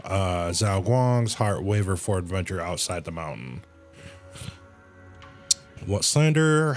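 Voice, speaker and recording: A man's voice: deep voice, close to an everyday voice. A man voicing a pompous, bickering temple guardian from a fantasy game in a theatrical, grandiloquent way. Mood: accusing, then indignant, lofty. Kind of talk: reading game dialogue aloud.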